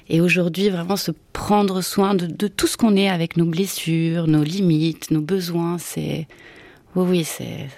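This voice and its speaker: A woman's voice: fairly low pitch, vocal fry, darkish tone, no echo. A woman whose first language is Italian, a theatre director speaking thoughtfully in a radio interview, often restarting her phrases.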